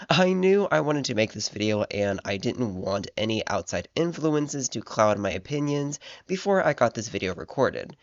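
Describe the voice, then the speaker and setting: Man, congested, chatty book reviewer, very lively pitch, dry and slightly dull recording. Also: dry recording. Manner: chatty, animated, with asides. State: congested from a cold. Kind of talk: scripted voice-over book review. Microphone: voice-over over gameplay footage.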